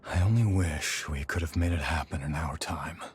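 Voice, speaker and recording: An actor playing a seasoned male warrior and loyal mentor in a video game, speaking in a measured, earnest, reflective way. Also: very deep voice, husky, exaggerated voice. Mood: reflective, wistful. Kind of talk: video game dramatic dialogue.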